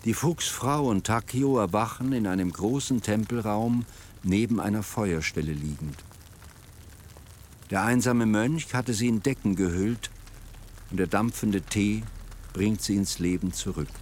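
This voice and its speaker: A man's voice: on the low side, unhurried, a little mellow, a little hiss or hum. A man reading scripted narration for a radio drama.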